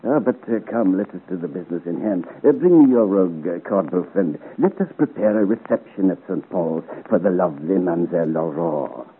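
An elegant old duke, deliberate and courtly, with very expressive intonation. He schemes in grand 1950s radio-drama style. The old recording is thin and narrow, with faint noise. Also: deep voice. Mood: wistful. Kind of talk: 1950s radio serial acting.

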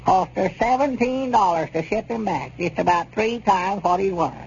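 An old radio recording, dull and a little noisy, carries a high-voiced rustic storekeeper. He talks in a folksy rural Southern drawl, excitable and plain, in the manner of 1930s comedy serials. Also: moderate pace. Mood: exasperated.

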